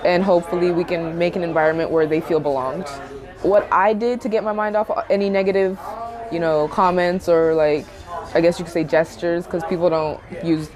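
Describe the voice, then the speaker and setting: Low-voiced woman over crowd chatter. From Japan. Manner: reflective, loose phrasing with frequent "you know" and "like". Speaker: interviewee. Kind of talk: casual personal account.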